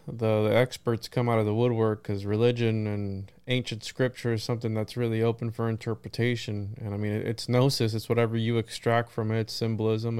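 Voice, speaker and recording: A man's voice: fairly low pitch, a little mellow, clean tone, dry recording. A man hosting a casual conversational interview, rambling and often circling back to rephrase what he is saying. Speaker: podcast host.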